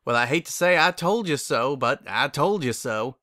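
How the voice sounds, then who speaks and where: A man's voice: medium pitch, expressive, normal speed, bright, forceful tone. A man voicing a plain-spoken, practical country farm mare, caring and firm, with a folksy, blunt manner. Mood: teasing.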